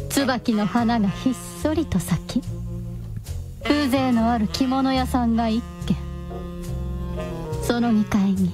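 High-voiced woman narrating over music. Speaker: radio drama narrator. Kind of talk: scripted dramatic storytelling narration.

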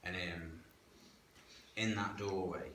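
Low-voiced man in echoey hall. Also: slow pace, fairly bright tone. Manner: frank, plain-spoken, chatty with local slang. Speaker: guest sharing life story. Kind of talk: candid personal testimony. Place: church hall, indoors, medium-sized. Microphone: far camera. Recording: echoey hall with background hiss.

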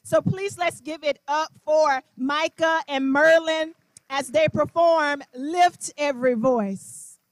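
High-voiced young woman emcee. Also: no room sound. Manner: brisk, encouraging introductions. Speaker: program emcee. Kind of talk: event hosting. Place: large gymnasium auditorium. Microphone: handheld microphone.

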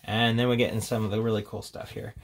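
A man giving a casual, unscripted walkthrough as a tabletop game reviewer, talking in a rambling, halting way with casual enthusiasm. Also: on the low side, creaky. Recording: steady background noise, handling clicks.

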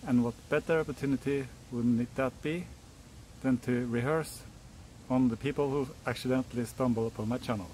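A man in his forties from Norway, speaking English with a Norwegian accent, explaining a hobby project in a halting, self-correcting way. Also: mid-range voice, steady tone, a little mellow, a little hiss or hum, low-quality recording. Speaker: hobbyist YouTuber. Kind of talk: casual DIY explainer. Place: outdoors on a hilltop, then indoors at a workbench.

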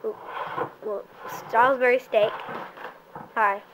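Woman running a silly home cooking show, bossy, lots of um and uh, kinda high voice, noisy camera audio. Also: steady tone, takes it slowly. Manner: bossy, offhand, hesitant with fillers. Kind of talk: playful homemade cooking show. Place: home kitchen.

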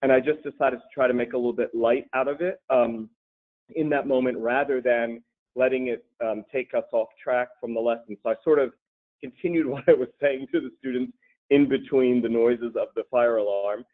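A male high school language teacher talking on a panel, with wry, self-deprecating humor. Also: steady tone, a little fast. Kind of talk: casual panel discussion. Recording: tinny phone-like video call audio.

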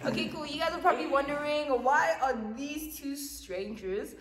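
A woman in her twenties with a South African accent, chatting in an animated, slangy way as a vlog host. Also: high voice, moderate pace, heavy background noise. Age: 20s. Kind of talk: casual chatty storytelling to camera. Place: small plain room indoors, seated with two others. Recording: echoey room with long reverb.

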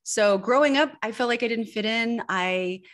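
A woman's voice: on the high side, normal speed, fairly bright tone. American woman candidly telling her story. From Northern California, United States. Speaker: guest, personal branding image expert. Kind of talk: conversational interview, personal storytelling. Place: indoor room at home. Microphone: video call microphone.

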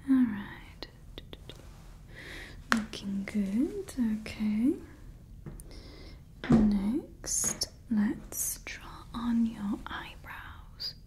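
A woman voicing a doting female evil scientist in an ASMR roleplay, whispering softly close to the mic and fussily repeating her words. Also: on the high side, lively intonation, extremely slow, long pauses. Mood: fussy, doting. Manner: soft whispering, repeats words fussily, calls listener darling. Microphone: close ASMR microphone.

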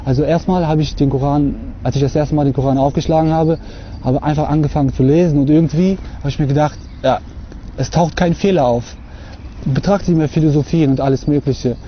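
A young man from Russia talking to camera outdoors on a lawn, earnest and hesitant, filling pauses often. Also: on the high side, fairly even intonation, dull audio, a little hiss or hum. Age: young adult. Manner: hesitant, many fillers, earnest. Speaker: convert giving a testimony. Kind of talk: unscripted talk to camera.

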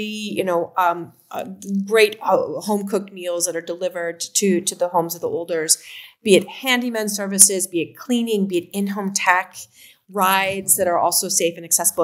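A woman in her fifties from Oregon with an American accent, talking casually as a company co-founder on a video call. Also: medium pitch. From Oregon, USA. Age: 50s. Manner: animated, gestures while talking. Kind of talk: casual interview talk. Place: home room. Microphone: video call webcam.

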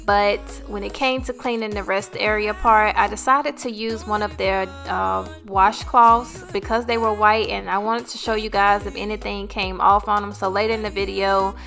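A woman's voice: mid-range voice, flat delivery, normal speed, vocal fry, hardly any pauses, fairly bright tone. An American woman giving a casual, chatty voice-over narration as a vlog host. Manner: chatty, informal. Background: background music.

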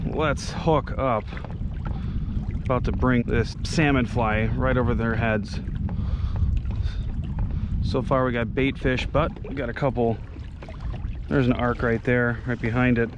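A man's voice: mid-range voice, background noise. A man in his thirties giving casual running remarks for a fishing vlog, recorded outdoors on an action camera close by. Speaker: fishing vlogger. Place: outdoors on a kayak on open water and on a beach.

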